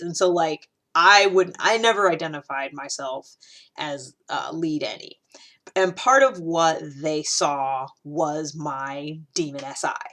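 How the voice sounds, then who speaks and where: A woman's voice: low-pitched, fairly bright tone. A woman hosting her own video, talking casually to the camera in a rambling way, full of fillers and restarts. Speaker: video host.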